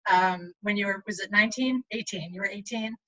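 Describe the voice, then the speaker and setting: A woman interviewer talks fast and chattily over a webcam, full of enthusiasm. Her voice is bright and forward. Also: medium pitch, lo-fi sound. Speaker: interviewer for a society. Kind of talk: conversational interview over video call. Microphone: video call webcam.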